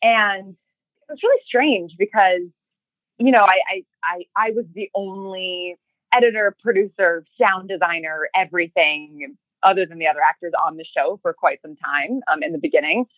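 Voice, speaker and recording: A woman talking casually as an interview guest, halting and often rephrasing as she tells her story. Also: fairly low pitch, no echo. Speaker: podcast interview guest, writer and creator. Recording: thin, phone-like narrow band.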